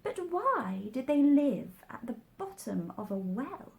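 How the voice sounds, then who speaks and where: Lady doing a curious polite little storybook girl, earnest, bit touchy, up and down pitch. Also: big pitch swings, takes it slowly, vocal fry, darkish tone, exaggerated voice. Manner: earnest, questioning. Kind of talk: storybook character voice.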